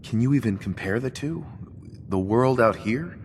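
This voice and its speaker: A man's voice: mid-range voice, expressive, normal speed, close to an everyday voice. An actor playing an earnest, somewhat bewildered male survivor in a video game, subdued in manner. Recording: reverberant, faint noise.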